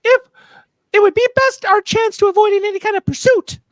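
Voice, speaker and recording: A woman playing an eager, dutiful junior starship ensign in a fan radio play, with a squeaky voice. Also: steady tone, normal speed, no echo.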